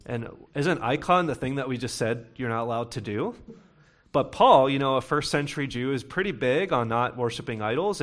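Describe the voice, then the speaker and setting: A young man in his late twenties or thirties preaching a sermon to his congregation, talking conversationally and slowing down to read scripture. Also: medium pitch, fairly bright tone. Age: young adult. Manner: conversational preaching with bursts of emphasis, reading scripture slowly. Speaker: pastor.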